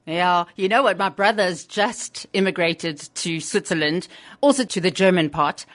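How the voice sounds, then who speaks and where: A woman's voice: lowish voice, moderate pace, a bit bright. A South African woman interviewing a guest, chatty and teasing in conversation.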